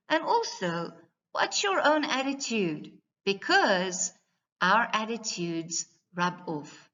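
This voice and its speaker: A woman's voice: medium pitch, fairly bright tone, muffled recording. A woman teacher giving a scripted presentation to parents in a didactic, carefully enunciated way.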